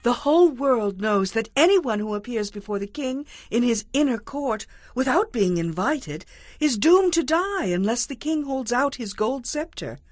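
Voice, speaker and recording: A woman's voice: a little high, expressive, measured pace, dry recording. An actress playing a brave, solemn young queen in a dramatized audio Bible, speaking in an earnest, formal way. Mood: anxious.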